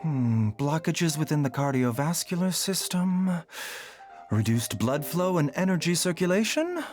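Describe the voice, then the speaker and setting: An actor playing a calm, courteous male doctor with hidden motives, soft-spoken and measured. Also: on the high side. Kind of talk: video game voice acting. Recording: game dialogue over music.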